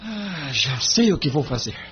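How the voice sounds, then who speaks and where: An earnest young lawyer played by a man in an audio drama, courteous and thoughtful. The voice sits fairly high and moves freely up and down, on a dull recording with a faint hum. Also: big pitch swings, a little slow, a bit bright. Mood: earnest polite. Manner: polite, thoughtful.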